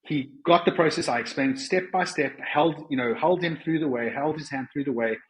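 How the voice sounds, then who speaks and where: A man's voice: on the high side, moderate pace, poor audio. A man with a South African accent speaks conversationally as an interview guest, earnest and explanatory, often rephrasing as he goes. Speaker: podcast guest.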